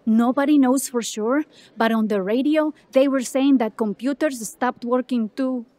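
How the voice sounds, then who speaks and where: Woman acting high-voiced office clerk. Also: measured pace, warm tone, dry recording. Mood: matter-of-fact. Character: matter-of-fact Mexican woman telegraph office clerk.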